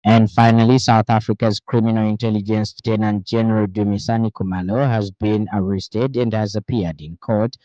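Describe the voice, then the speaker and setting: A man with a Zambian accent reading a scripted radio news bulletin in a formal, measured delivery. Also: lowish voice, level tone, dark tone, dry recording, muffled recording. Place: radio studio.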